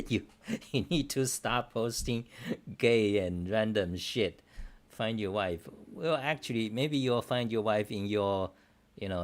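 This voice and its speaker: A middle-aged man whose first language is Chinese, a livestream host who rambles casually and bluntly through viewer questions, swearing freely in loose, broken phrasing. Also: fairly low pitch.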